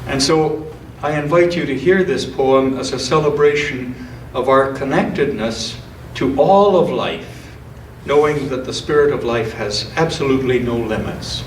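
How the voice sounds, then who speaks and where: Slow-paced man reading in a roomy church. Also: mid-range voice. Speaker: church scripture and poetry reader. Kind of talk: church reading with informal commentary. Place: church, speaking to a congregation. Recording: roomy, distant mic, faint noise.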